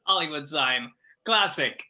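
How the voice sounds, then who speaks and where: Very bright, wisecracking teenage guy played by a man. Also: on the high side, lively intonation, measured pace, full character voice. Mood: wry, playful. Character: breezy, wisecracking, nerdy teenage boy best friend. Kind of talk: amateur table read of a film script.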